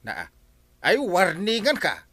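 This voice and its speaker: An actor with an Ilocano Filipino accent playing a blustering, scolding village captain in a radio comedy drama, full of exclamations. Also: male voice, sits high, wildly swooping pitch, measured pace, fairly bright tone, heavily acted.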